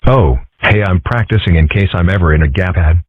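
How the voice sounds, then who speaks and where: A smug, brand-obsessed cartoon boy, played by a man talks breezily and full of himself. His voice is low and husky. The sound is dry and tinny, like a phone recording. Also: a little fast, no echo.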